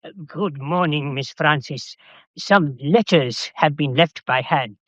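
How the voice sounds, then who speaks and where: A man's voice: fairly high pitch, takes it slowly, a bit bright, dry recording, muffled recording. An actor playing a polite, dutiful male employee in a radio drama, formal, hesitant and deferential.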